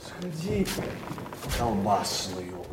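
Low, slow, scornful master, echoing. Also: male voice, very animated, heavily acted. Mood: scornful, commanding. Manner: curt, slow, scornful orders. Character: shabby gentleman master, male, curt and scornful. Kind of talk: theatrical stage comedy dialogue. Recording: echoey hall, distant from microphone.

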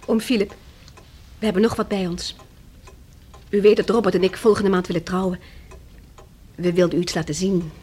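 An actress playing an earnest, polite young heiress in a radio play. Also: female voice, fairly low pitch, fairly even intonation, moderate pace, pauses often, darkish tone, slightly rough. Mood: earnest, pleading. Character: Rosa, earnest young engaged heiress niece.

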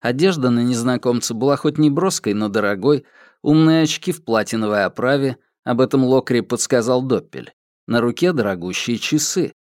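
Male audiobook reader for fiction, middle register, varied expressive melody, dry recording. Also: mid-range voice, no echo. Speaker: audiobook narrator. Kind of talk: scripted fiction narration.

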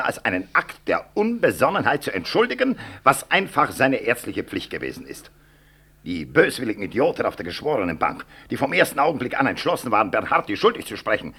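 An actor playing an outspoken, hot-tempered medical professor in a 1960s German radio drama, raspy and rhetorical. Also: male voice, mid-range voice, pushed and bright, exaggerated voice. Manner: emphatic, rhetorical.

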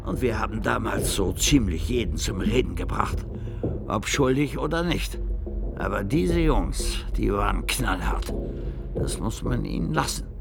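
An actor playing an older, gruff and cynical former Soviet state prosecutor in a radio drama, speaking coarsely and dismissively. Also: male voice, on the low side, animated, a little slow, loud music behind the voice. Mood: cynical, grim.

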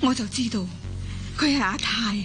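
A woman's voice: fairly high pitch, normal speed, close to an everyday voice. A woman playing an intense, bitter old grandmother in a Cantonese radio drama, with a Hong Kong accent. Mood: grave, knowing. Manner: intense, melodramatic. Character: old grandmother, bitter, obsessive, desperate to part two lovers. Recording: music under the voice.

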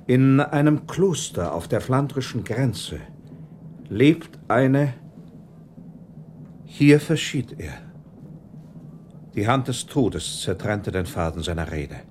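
An actor playing an earnest, courteous noble prince in a radio play, formal and theatrical. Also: male voice, mid-range voice, animated, slow, pauses often, a little mellow, a little hiss or hum. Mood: grave, longing. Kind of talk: German radio play acting.

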